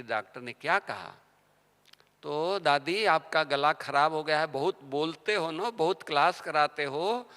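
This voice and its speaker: A man giving a devotional sermon, telling stories and asking rhetorical questions, his voice swinging between soft and loud. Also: on the high side. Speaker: spiritual teacher. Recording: long hall reverb.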